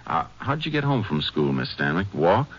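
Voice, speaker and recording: A man acting a steady, courteous Texas Ranger investigator in a 1950s radio drama, clipped and matter-of-fact. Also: sits low, moderate pace. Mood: calm questioning. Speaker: radio actor. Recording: muffled old 1950s radio recording.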